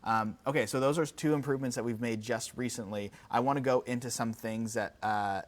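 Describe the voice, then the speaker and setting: A man's voice: medium pitch, fairly even intonation, a little fast. A man hosting a product update livestream in a conversational, off-the-cuff way, often repeating words as he goes. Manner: conversational, off-the-cuff, hesitant repetitions. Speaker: company product presenter and host.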